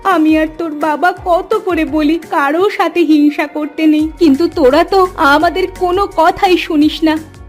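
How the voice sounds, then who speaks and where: A woman's voice: very high voice, fairly even intonation, unhurried, soft background music. A woman voicing an anxious, loving village mother in a cartoon, plaintive and worried. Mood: shocked, chiding.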